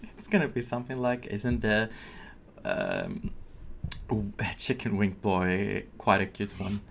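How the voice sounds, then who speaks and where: Slow-paced man commenting on a game. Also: lowish voice, sing-song, creaky, fairly bright tone, slight background noise. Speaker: streamer reacting to a game. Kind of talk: casual playthrough commentary.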